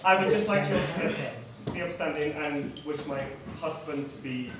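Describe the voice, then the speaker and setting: A man's voice: on the high side, moderate pace, noisy background. A man giving an unscripted wedding toast as the groom, self-deprecating and laughing between remarks. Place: wedding reception hall with guests. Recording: echoey hall, captured from far off over a loud crowd.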